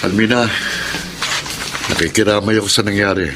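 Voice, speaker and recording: A man's voice: on the low side, fairly even intonation, normal speed, very noisy, close to an everyday voice. A man playing a blunt, persistent male suitor in a Filipino radio drama. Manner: blunt, earnest.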